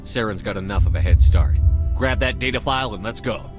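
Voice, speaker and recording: An actor playing a driven military commander in a video game, direct and decisive in delivery. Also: male voice, lowish voice, a little fast, over music, natural voice. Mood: resolute.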